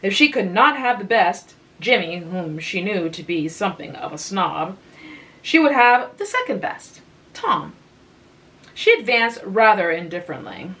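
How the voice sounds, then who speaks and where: Slow, calm woman reading a story. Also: mid-range voice, rough recording. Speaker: reader of literature. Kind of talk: relaxing literary reading.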